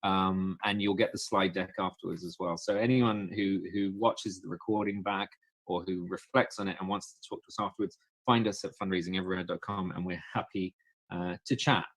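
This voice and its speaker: A man's voice: low-pitched, steady tone, fairly quick, fairly bright tone, no room sound, poor audio. A man co-hosting a webinar, chatty and joking with many fillers. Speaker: co-host of webinar. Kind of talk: casual webinar presenting.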